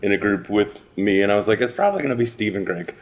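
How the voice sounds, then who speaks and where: A man's voice: fairly low pitch, animated, fast talker. A man talking casually and informally as a podcast guest. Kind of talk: casual chat.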